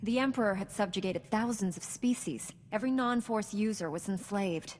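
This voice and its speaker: A young female Jedi knight voiced by an actress speaks at a medium pitch with earnest resolve. Her delivery is formal and composed, as in a dramatic video game cutscene, and carries long hall reverb. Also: moderate pace, a little mellow, close to an everyday voice. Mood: firm.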